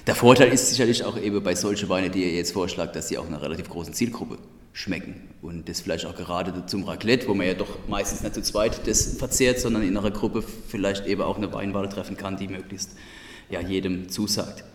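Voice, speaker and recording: A man's voice: low-pitched, a little fast. Man with Odenwald dialect in an echoey room. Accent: Odenwald German dialect. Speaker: host. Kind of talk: casual chat. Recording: echoey room with long reverb.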